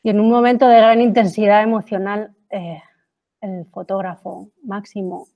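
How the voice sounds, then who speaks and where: A woman giving an academic lecture, pausing often with fillers and restarts and asking rhetorical questions. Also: mid-range voice, flat delivery, dull audio. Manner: hesitant, many fillers and restarts; reads quotes aloud, asks rhetorical questions.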